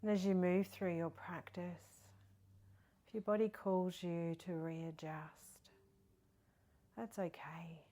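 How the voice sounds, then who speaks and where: Lady doing guided meditation, kinda low soft whispery voice, super slow n soothing, lots of pauses. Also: level tone. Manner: soothing, gentle, unhurried with long pauses. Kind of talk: guided body scan meditation.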